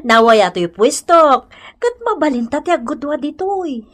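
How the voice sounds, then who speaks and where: A woman's voice: high-pitched, expressive, unhurried, fairly bright tone. A woman playing a kindly, chatty market stallholder in a radio comedy drama, with an Ilocano accent. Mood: encouraging.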